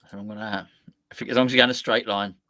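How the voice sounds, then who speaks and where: A man's voice: on the low side, steady tone, sharp tone, no echo, clean studio sound. A man co-hosting a casual live football chat, rambling and restarting his sentences often. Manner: rambling, halting with repeated restarts. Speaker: co-host.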